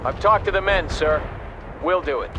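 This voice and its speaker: An actor playing an earnest, determined army captain in a video game war drama, loyal and intense. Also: male voice, a little high, normal speed, dull audio, natural voice. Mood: resolute. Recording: battle noise and loud music behind.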